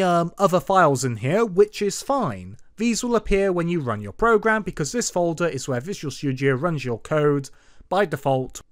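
A man hosting an informal programming tutorial as a voice-over, playful and lightly sarcastic in manner. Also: on the high side. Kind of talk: informal screen-recorded tutorial narration. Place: voice-over for screen recording.

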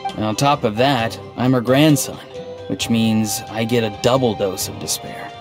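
An actor playing an earnest, odd, melancholy young man in a video game, speaking in a halting, stiffly sincere deadpan. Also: mid-range voice, lightly acted. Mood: gloomy, pleading. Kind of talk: video game dialogue acting. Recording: game music underneath. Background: background game music.

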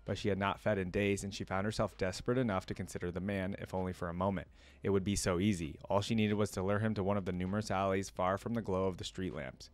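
A man's voice: lowish voice, no echo. A young adult man reading a story aloud and adding casual commentary as a reaction video host. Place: indoor room at a computer desk.

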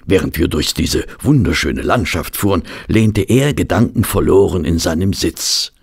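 An actor playing a fussy gentleman who is a detective's companion and narrator in a German audio drama. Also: sits low, lively intonation, gravelly, slightly rough, heavily acted. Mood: measured, sombre. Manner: flustered in dialogue, measured and literary when narrating.

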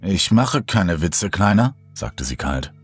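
A man's voice: sits low, big pitch swings, slow, slightly rough, faint music behind, exaggerated voice. A man voicing a teasing cannibal killer woman. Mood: cold. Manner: teasing, coolly condescending.

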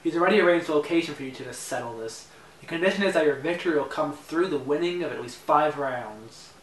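A young man voices a young advisor who speaks formally and deferentially. His voice sits a bit high and sounds distant in a roomy space, with a faint hiss under it. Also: fast, fairly bright tone, close to an everyday voice. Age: young adult. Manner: formal, polite, briefing-like. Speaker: actor in an amateur mob drama. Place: living room in a house. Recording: roomy room audio from a far camera, faint hiss.

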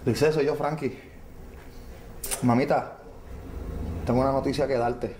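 An actor playing an anxious man who must break bad news, calming a distraught woman. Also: medium pitch, echoey room, a little hiss or hum, lightly acted. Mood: soothing. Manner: nervous, soothing, hurried. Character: anxious man who brought his friend to the city.

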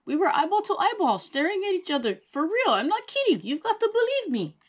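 Young boy, excitable storyteller, shrill and breathless, read by a woman. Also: fairly quick. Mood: insistent.

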